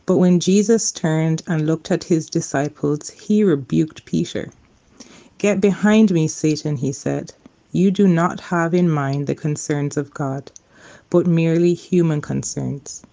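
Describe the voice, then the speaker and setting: A woman's voice: low-pitched, unhurried, creaky, mellow, highs cut off. A woman giving a formal Bible reading as a church lector. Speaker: scripture reader.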